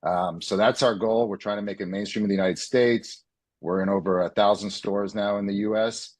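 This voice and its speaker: A man, a guest on an online panel, describing his family business in a plain, steady way with slight hesitations. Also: on the low side, moderate pace, dry recording. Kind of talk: business interview answer.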